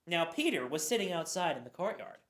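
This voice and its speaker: A woman giving a dramatic Bible reading, expressive, with occasional stumbles. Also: lowish voice, fairly quick. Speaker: scripture reader. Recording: roomy echo.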